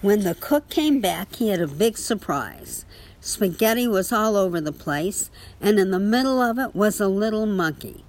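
A woman reading a children's picture book aloud in an expressive storytelling manner. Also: mid-range voice, normal speed, low-quality recording. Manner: expressive storytelling with small spoken asides. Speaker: story reader.

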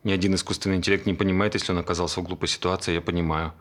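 A man's voice: low voice, level tone, racing, a bit bright. An actor playing a man who earnestly explains at length that he is a robot. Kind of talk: stage play acting.

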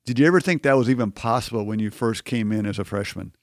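A man's voice: on the low side, fast, dry recording, clean studio sound. A man interviewing a student, asking encouraging follow-up questions in a conversational way.

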